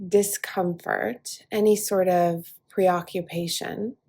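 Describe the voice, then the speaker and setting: A woman leads a guided meditation as a yoga nidra teacher. She speaks very slowly and soothingly, resting between short phrases. Her voice is soft and breathy, with an airy edge to the words. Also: medium pitch. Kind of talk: guided relaxation meditation, speaking softly to listener.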